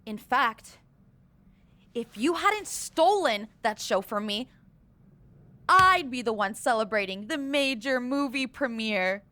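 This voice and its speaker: A woman's voice: fairly high pitch, expressive, slow pace, sharp tone, no room sound, exaggerated voice. An actress playing a scrappy, persistent young woman wrestler, pointed and earnest, in a scripted video game cutscene. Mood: bitter, needling.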